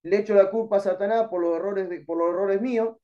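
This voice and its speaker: A man's voice: high-pitched, steady tone, fast talker, mellow, highs cut off. A middle-aged man with an Argentine accent teaching an online Bible class, earnest and exhorting, calling listeners brother. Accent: Argentine Spanish.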